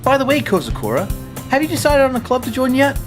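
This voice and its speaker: A woman voicing a carefree, caring teenage schoolboy in an anime-style audio drama, earnest, teasing and expressive. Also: sits high, fast talker, over music. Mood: cheerful.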